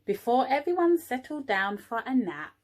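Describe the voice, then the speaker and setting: A woman's voice: high-pitched, very animated, moderate pace, darkish tone, slight background noise. A woman, an early years teaching assistant at home, encouraging young children and asking them questions as she reads a story.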